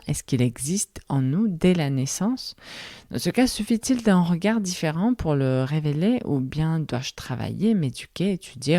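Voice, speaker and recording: A low-voiced woman in her thirties hosts a reflective podcast monologue, speaking in a language that is not her first. Her tone is mellow and soft-spoken, and she turns over one question after another. The recording is dry and close, with no room sound. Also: no room sound. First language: not French. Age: 30s. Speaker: podcast host.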